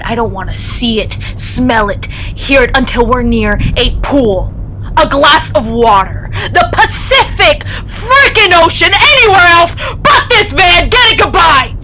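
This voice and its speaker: An actress playing a bossy, exasperated, folksy traveller with an emphatic, wry delivery in a staged play reading. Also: female voice, expressive, unhurried. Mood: loud, exasperated. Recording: noisy stage recording with rumble.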